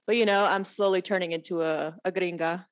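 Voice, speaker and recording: Friendly co-host woman, lowish voice. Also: fairly even intonation, fairly quick. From San Diego area, US. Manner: friendly, curious questions. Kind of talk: casual interview chat.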